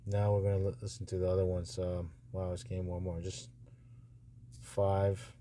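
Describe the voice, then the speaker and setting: A man talking casually and off the cuff as he reviews narrator auditions, halting and repetitive, with a reassuring manner. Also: low voice, monotone, mellow, slight background noise.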